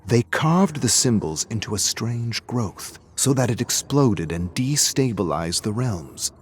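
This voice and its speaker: An actor playing an obsessive, brooding archivist who is trapped and set on escape, narrating a video game journal in a measured, deliberate, contemplative way. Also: male voice, medium pitch, animated, a little hiss or hum, natural voice. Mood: intent, hopeful.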